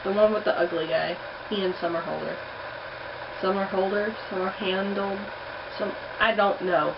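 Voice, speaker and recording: A woman's voice: mid-range voice, steady tone, normal speed, gravelly. A young woman chatting bluntly in a casual vlog, swearing now and then. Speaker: vlogger. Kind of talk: casual accent challenge vlog. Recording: distant muffled home recording with heater noise.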